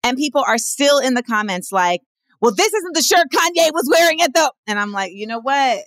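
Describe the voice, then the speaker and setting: A woman guest with a general American accent, speaking in a candid interview in a direct, emphatic way, with quick asides. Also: big pitch swings, a bit bright, no room sound. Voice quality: says she has a deep voice.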